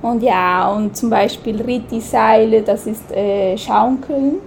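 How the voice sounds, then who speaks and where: A Swiss woman with a Swiss German accent speaks slowly and hesitantly, searching for words with plenty of fillers. Her voice sits slightly high, with a gravelly edge and a dark, mellow tone. She laughs often between her sentences. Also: a little hiss or hum. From Switzerland. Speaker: guest. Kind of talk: casual interview.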